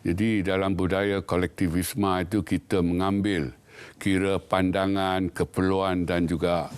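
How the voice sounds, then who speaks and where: A man from Malaysia, a professor guest on a studio panel discussion, speaking in a measured, thoughtful way with frequent hesitations. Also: sits low, level tone, darkish tone, clear, resonant tone.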